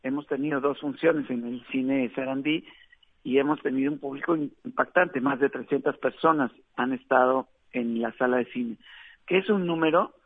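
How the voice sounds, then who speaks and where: A man from Mexico with a Mexican accent, a film director giving a relaxed, chatty radio interview with frequent fillers. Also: medium pitch, moderate pace, dry recording. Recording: tinny dry phone line.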